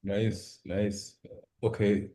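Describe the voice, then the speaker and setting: A man hosting an informal, unpolished interview, talking in a halting, self-deprecating way. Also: lowish voice, little pitch movement, dark tone. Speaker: interviewer, podcast host.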